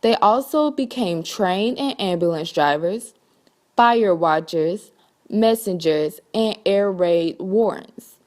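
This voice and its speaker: Mellow-voiced woman narrating history. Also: mid-range voice, lively intonation, slow. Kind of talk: scripted documentary narration.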